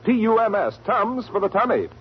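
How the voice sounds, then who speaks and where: A man's voice: high-pitched, sing-song, normal speed. An American man reading a scripted 1940s radio commercial as an announcer. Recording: muffled old radio recording. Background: loud music.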